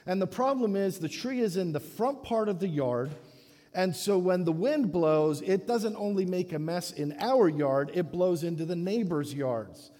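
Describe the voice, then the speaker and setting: A man from the Dominican Republic preaching a sermon in a church, halting often and restarting his phrases. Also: high-pitched, lively intonation, mellow. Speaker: guest preacher. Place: church sanctuary. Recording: echoey church hall.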